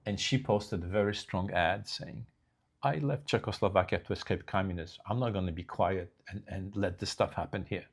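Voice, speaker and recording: An older man with a very low, deep voice. He talks in a halting, unhurried way, full of restarts and fillers, in a relaxed news chat. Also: creaky. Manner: slow, halting delivery with many restarts. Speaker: co-host. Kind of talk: casual chat.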